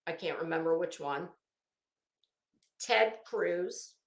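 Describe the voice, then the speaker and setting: A woman's voice: on the low side, slow. A woman giving casual, opinionated, rambling commentary as an online host, gossipy and emphatic, stretching out the words she stresses. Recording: roomy, echoing room sound.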